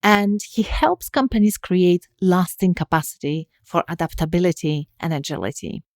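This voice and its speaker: Low-voiced woman host, dry close sound. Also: normal speed, dry recording. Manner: enthusiastic, articulate, laughs easily. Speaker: podcast host. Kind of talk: scripted intro, then interview questions.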